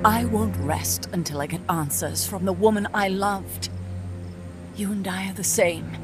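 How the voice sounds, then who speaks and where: A woman's voice: mid-range voice, unhurried, natural voice. An actress playing a bitter, obsessive woman scientist in a video game cutscene, accusing, theatrical and sardonic. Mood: bitter, mournful. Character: bitter, obsessive female scientist haunted by lost love. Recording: music under the voice.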